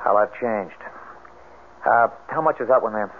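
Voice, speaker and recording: Casting: brooding recluse, fairly low voice, terse and reserved, 1970s radio drama, noisy narrow-band sound. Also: male voice, lively intonation, unhurried. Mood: guarded. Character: guarded, brooding scarred swamp hermit. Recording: tinny, noisy old radio broadcast.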